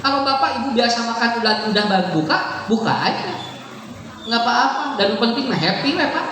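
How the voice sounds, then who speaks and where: A man's voice: very high voice, lively intonation, a little fast, sharp tone. A man with a Sundanese accent giving a chatty, joking sermon to a congregation, often laughing as he talks. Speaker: Islamic preacher. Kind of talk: lively humorous sermon to a congregation. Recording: echoey hall, far from the mic, noisy.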